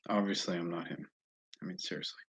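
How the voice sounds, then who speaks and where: An American man chatting casually and haltingly on a livestream, often restarting his sentences as he thinks aloud. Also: low-pitched, fairly even intonation, a bit bright, husky, muffled recording. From Northeast United States, mostly Massachusetts. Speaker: livestream host answering viewer comments. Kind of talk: casual rambling livestream chat.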